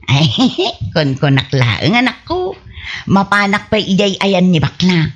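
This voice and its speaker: A woman playing an excitable, bickering, scatterbrained wife in an Ilocano radio comedy, exclaiming often in an Ilocano Filipino accent. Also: lowish voice, measured pace, muffled recording. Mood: gleeful. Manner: exclaiming, animated.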